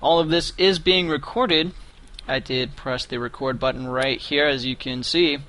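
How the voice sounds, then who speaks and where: A man in his twenties with a fairly high voice, casting a live game tournament in chatty, joking banter. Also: fairly even intonation, moderate pace, bright, forceful tone, slight background noise. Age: 20s. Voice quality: higher voice. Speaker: esports caster.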